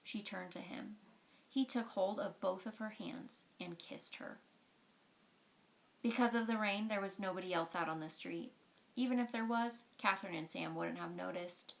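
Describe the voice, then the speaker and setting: A woman's voice: medium pitch, normal speed, creaky, low-quality recording. A woman reading a storybook aloud as a storyteller, explaining things in simple, informal words.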